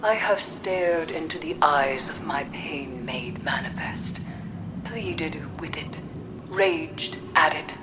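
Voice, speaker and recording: Low, measured woman solemn mystic. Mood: grave, reflective. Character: solemn, haunted mystic woman, weary and cryptic. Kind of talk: video game cutscene monologue. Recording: clear background ambient noise.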